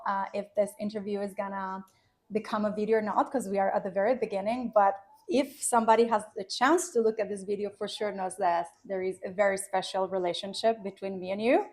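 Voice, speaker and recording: Woman, Italian accent, podcast host, casual chat, restarts often, middle pitch. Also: steady tone, fairly quick. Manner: chatty, loose, frequent restarts.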